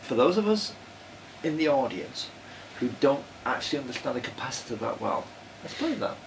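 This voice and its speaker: A man's voice: mid-range voice, big pitch swings, moderate pace, vocal fry, far from the mic, a little hiss or hum, low-quality recording. Rambling man, a bit off-mic. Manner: rambling, self-mocking storyteller. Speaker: podcast co-host. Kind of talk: casual chat.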